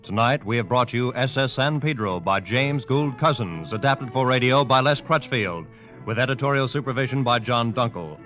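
A bright, forceful man announces in formal old-time radio style, reading scripted credits with measured polish. The recording is dull, with faint music. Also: mid-range voice, animated.

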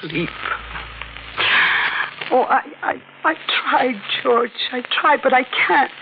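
An actress playing a terrified, guilt-ridden widow in a 1940s radio drama, pleading and melodramatic. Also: female voice, sits high, expressive, slow, gravelly, exaggerated voice. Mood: haunted. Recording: narrow, noisy old radio recording.

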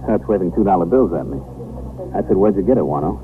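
A man's voice: sits low, animated, quick pace. A man playing a modest, plain-spoken American patrolman reporting deferentially to his superior in a 1950s radio drama. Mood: matter-of-fact. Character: modest young beat patrolman recounting his tip to a superior. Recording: muffled, hissy 1950s radio recording.

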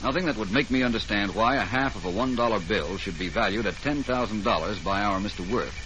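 Deep-ish cool private eye, old radio show, dry and urbane, really noisy recording. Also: male voice, a little fast, bright, forceful tone. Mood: composed, dry. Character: composed, dryly wry male private detective. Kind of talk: 1940s radio drama acting.

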